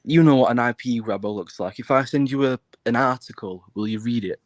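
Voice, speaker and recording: British male, mid-pitched, blunt and sweary, insistent casual chat, dry recording. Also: fairly quick, dry recording.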